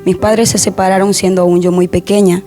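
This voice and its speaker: A young woman, a guest softly and hesitantly sharing a personal testimony in an interview. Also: mid-range voice, level tone, a little fast, soft background music. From Honduras.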